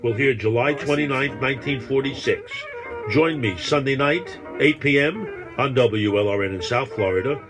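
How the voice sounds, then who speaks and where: A male radio host reads a scripted promo for his program. Clear guitar music plays behind his voice. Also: medium pitch, moderate pace.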